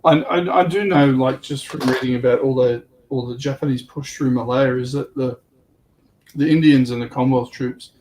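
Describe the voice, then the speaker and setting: A man's voice: mid-range voice, steady tone, moderate pace, darkish tone, slight background noise, rough recording. A man chatting casually as a livestream panelist, in a tentative, loosely phrased way. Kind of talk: casual hobby chat.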